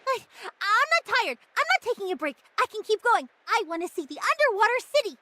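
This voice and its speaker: A woman's voice: extremely high pitch, fairly quick, heavy background noise. A woman voicing an eager, gushing, stubborn little girl in an anime-style game. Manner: excitable, gushing, childlike.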